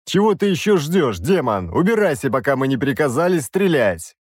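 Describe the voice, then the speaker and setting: A man's voice: medium pitch, sing-song, normal speed, a little mellow, husky, no room sound, studio quality, voice pushed up. A man voicing an irritable, haughty king who talks in an imperious, grumbling way.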